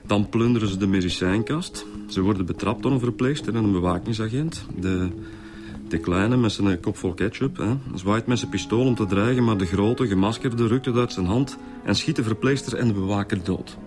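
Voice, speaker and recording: An actor playing a matter-of-fact, colloquial Flemish detective reporting in a radio drama. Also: male voice, fairly low pitch, normal speed, natural voice. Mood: explanatory. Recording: music under the dialogue.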